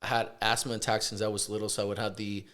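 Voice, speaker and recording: A man from Puerto Rico, a chiropractor, chatting casually in an interview, relaxed and hesitant with many fillers. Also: fairly low pitch, quick pace, crowd noise. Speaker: chiropractor, guest.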